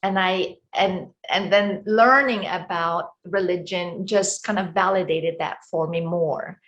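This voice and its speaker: A middle-aged woman from Egypt with an Egyptian accent, speaking as an interview guest in a reflective, halting way with many fillers. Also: fairly low pitch, fairly even intonation, fairly bright tone, dull audio. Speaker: guest, wellness coach. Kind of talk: casual interview talk.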